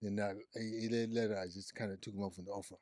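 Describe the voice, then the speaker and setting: A man's voice: fairly low pitch, flat delivery, racing, a little mellow, dry recording, studio quality. A man in his forties from Zimbabwe, speaking as a guest telling his life story in a casual interview, often repeating words and restarting. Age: 40s. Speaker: guest, psychiatric nurse practitioner.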